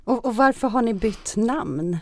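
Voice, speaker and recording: A woman's voice: fairly high pitch, animated, a little mellow, dry recording, clean studio sound. A woman hosting a podcast in a conversational way. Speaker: podcast host, theatre artistic director.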